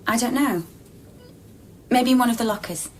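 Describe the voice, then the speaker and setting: Actress as a hushed, eerie, clinging woman apparition. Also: fairly high pitch, normal speed, noisy background, natural voice. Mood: confused, searching. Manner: hushed, searching, many short simple questions. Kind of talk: intimate radio drama acting.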